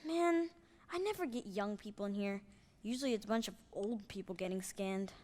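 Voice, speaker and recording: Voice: medium pitch, moderate pace, darkish tone, lightly acted. A young performer playing a casual, easily distracted boyish scan technician who gushes in slang in a staged radio play. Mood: casual, indifferent. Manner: slangy, gushing, tactless. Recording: faint noise on stage recording.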